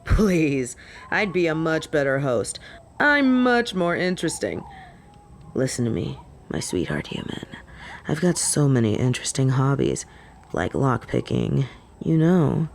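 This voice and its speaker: A woman playing a cocky, smitten female werewolf in an audio roleplay, teasing and flirting in a deadpan way. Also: extremely low pitch, a little slow, creaky, slight background noise, exaggerated voice. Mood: smitten, sly. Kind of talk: scripted audio roleplay acting.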